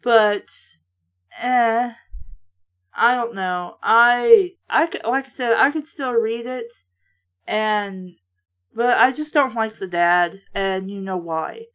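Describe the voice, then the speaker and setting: Female book reviewer, high-ish voice, sardonic and blunt, casual read-and-react delivery. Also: takes it slowly, fairly bright tone. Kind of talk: casual read-aloud with running critical commentary.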